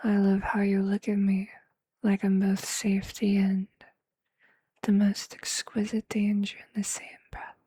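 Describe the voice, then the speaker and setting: A performer voicing a possessive, tender vampire lover in an intimate, breathy ASMR roleplay whisper, with a hushed, seductive and affectionate manner. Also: mid-range voice, monotone, no echo. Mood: adoring, seductive. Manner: slow, hushed, seductive, affectionate, formal wording.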